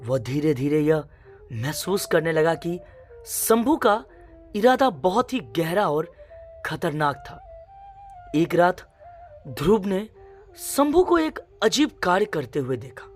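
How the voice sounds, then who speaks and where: A woman tells a dramatic suspense story in a fairly low voice. Her pitch moves widely while she goes slowly, phrase by phrase, through the scripted narration. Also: sing-song, soft background music.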